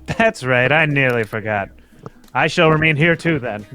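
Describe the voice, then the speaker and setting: A man's voice: mid-range voice, animated, moderate pace, sharp tone, soft background music. A man playing a genial, quaint detective sidekick in a radio play, with stagy old-chap phrasing. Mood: genial, curious. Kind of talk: radio play table read.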